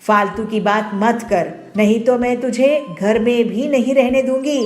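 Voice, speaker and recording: A woman's voice: fairly high pitch, fairly even intonation, unhurried, a little mellow, echoey room, lightly acted. A woman voicing a greedy, arrogant rich landlady bird in a cartoon, with a bossy, scolding manner. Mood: harsh. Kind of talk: Hindi cartoon voice acting.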